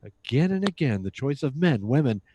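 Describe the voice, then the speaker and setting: A man's voice: medium pitch, big pitch swings, normal speed, warm tone, lo-fi sound, a little hiss or hum. A man announcing in old-time radio style, delivering scripted show introductions and sponsor reads.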